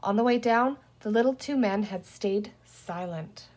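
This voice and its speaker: A woman's voice: medium pitch, a little slow, darkish tone. A woman reading a children's storybook aloud as narrator. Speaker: reader, teacher reading aloud.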